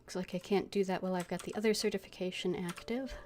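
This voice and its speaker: A woman's voice: lowish voice, fairly even intonation, fast. A woman streaming a game, reading dialogue lines aloud and adding casual commentary. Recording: game music and keyboard typing behind.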